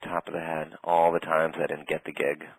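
A man guiding a tapping session in a calm, steady way, naming tapping points and saying short phrases for the other person to repeat. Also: a bass voice, a little hiss or hum, low-quality recording. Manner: calm, slow, leads phrases for repetition. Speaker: tapping practitioner.

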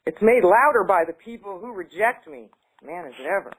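A woman's voice: medium pitch, big pitch swings, a little fast, husky. Woman echoing affirmations back. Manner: repeats phrases, hesitant with many fillers when talking freely. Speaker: client in a tapping session. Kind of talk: repeating affirmations, then casual talk.

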